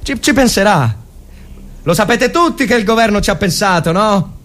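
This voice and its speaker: A man acting an agitated army lieutenant in a dramatic story reading, urging a frightened soldier on with hurried, pleading repetition. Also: high voice, animated, fairly bright tone, voice pushed up. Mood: anxious urging.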